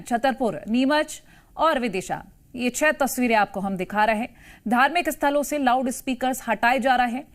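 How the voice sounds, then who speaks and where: A woman with an Indian accent presenting live television news as the anchor. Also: high-pitched.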